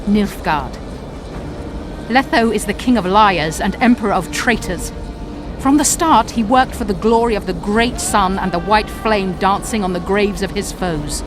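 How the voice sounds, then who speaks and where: Video game role: scheming sorceress played by a woman, imperious and contemptuous, theatrical menace, music clearly underneath. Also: medium pitch, a little slow, natural voice. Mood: bitter, insistent. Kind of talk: dramatic video game acting.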